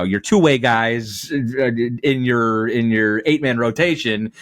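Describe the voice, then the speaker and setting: A man's voice: medium pitch, no room sound. A man giving conversational sports analysis as a podcast guest. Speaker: radio play-by-play announcer, podcast guest.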